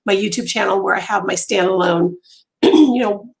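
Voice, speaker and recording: A woman hosting a casual crochet live stream from home, chatty with many ums and pauses, her voice going hoarse and interrupted by throat clearing. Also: mid-range voice. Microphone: phone on a mount.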